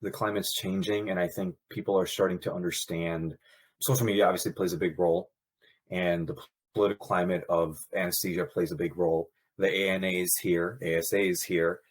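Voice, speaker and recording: Husky-voiced man telling his story. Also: low voice. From Pakistan, raised in Brooklyn, New York. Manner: candid, animated storyteller with quick laughs. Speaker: guest, nurse anesthetist. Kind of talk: podcast interview.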